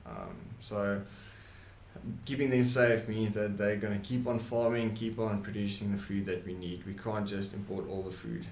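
A young man from South Africa with an Afrikaans accent, Afrikaans his first language, talking earnestly to camera in a dark room, with many ums and repeated words. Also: on the low side, steady tone, normal speed. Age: young adult. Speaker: YouTuber. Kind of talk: opinion monologue to camera. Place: dark indoor room, seated by desk. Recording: echoey room with faint hiss.

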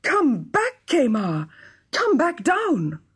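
A woman's voice: fairly low pitch, sing-song, moderate pace, slightly rough, full character voice. A woman voicing an earnest, easily flustered king of fifty in a children's storybook. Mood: desperate. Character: kindly fifty-year-old Persian king, easily flustered. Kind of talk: children's storybook character reading.

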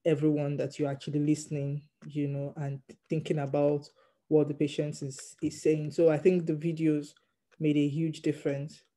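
A non-native English speaker, a doctor in training, talks through interview answers slowly, pausing often with ums. The voice is very dark and mellow, with a notably flat melody. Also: mid-range voice. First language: not English. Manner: hesitant, frequent ums, measured. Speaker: GP trainee interviewed about passing an exam.

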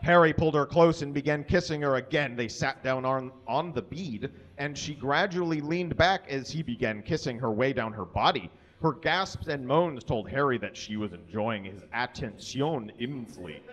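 A man in his thirties giving a comic live reading of fan fiction on a small stage, deliberately tripping over the mistakes in the text. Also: medium pitch, fairly bright tone. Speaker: live reader. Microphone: stand microphone on stage, filmed from a distance. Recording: dull, muffled live stage recording.

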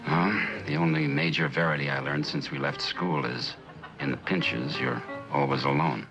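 A middle-aged actor playing a weary, plain-spoken loyal friend in a 1960s television drama, laconic and understated. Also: male voice, extremely low pitch, steady tone, normal speed, gravelly, over music, low-quality recording. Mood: subdued, wry.

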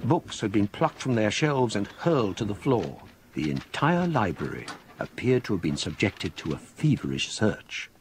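An actor playing an older, scholarly English gentleman who narrates a ghost story in a measured, formal, literary manner for a radio drama. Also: on the low side, big pitch swings, a little hiss or hum. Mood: grave, reflective.

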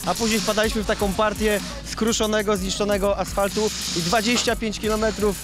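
A man's voice: high voice, level tone, fairly quick, over music. A rally driver in his thirties giving an upbeat, rambling interview outdoors at a service park, speaking into a handheld mic. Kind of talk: post-stage interview. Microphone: handheld reporter mic.

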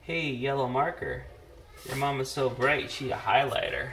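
A man's voice: medium pitch, lively intonation, moderate pace. Middle-aged man chatting over noisy background. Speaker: host of a family drawing channel. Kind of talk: casual chat while drawing. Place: indoors at a wooden table. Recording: noisy home recording with clicks.

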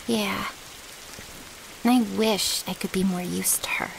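A woman's voice: mid-range voice, fairly even intonation, drawn-out words, vocal fry, fairly bright tone, full character voice, low-quality recording. A woman playing a brash, loyal young vampire's servant in an ASMR audio roleplay, with a cocky, blunt, casual way of talking. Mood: glum, confiding.

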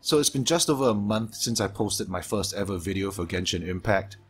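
A man from Singapore with a Singaporean English accent, reading a scripted gaming Q&A voice-over in a steady, measured way from his home hobby room, his s sounds sharp and a low hum behind him. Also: slightly rough, faint music behind. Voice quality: strong sibilance, low hum from aquarium pumps. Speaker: gaming YouTuber.

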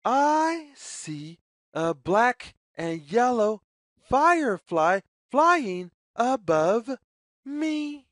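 A woman reading a children's picture book aloud as storyteller, with deliberate, sing-song phrasing. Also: a little high, very animated, no room sound, studio quality.

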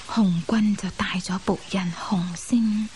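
Slow, reflective woman storyteller, played by an actress. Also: medium pitch, fairly even intonation, darkish tone, slight background noise, natural voice. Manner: unhurried, measured recollection. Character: reflective woman recounting her married past. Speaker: radio drama actress, narrating character. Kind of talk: Cantonese radio drama storytelling.

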